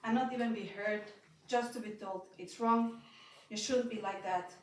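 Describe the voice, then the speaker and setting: A woman's voice: mid-range voice, steady tone, normal speed, a little hiss or hum. A young woman from Mexico and Austria, native in Spanish, nervously reading a personal talk aloud to an audience, her voice shaky and broken by small laughs. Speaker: speaker giving a talk. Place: event hall at a building inauguration. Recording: echoey hall, speaker far from mic.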